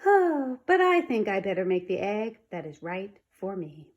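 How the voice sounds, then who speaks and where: A woman's voice: medium pitch, very animated, measured pace, darkish tone, dull audio. A woman voicing an earnest, modest young male rabbit from a children's storybook, full of wonder. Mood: polite, resigned.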